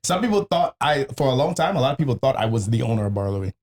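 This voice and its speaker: A man's voice: mid-range voice, very animated, fast. A man with a mid-range voice hosts a casual podcast. He tells stories in an informal, slangy way and swears freely as he talks. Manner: profane, slangy, informal storytelling. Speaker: podcast host.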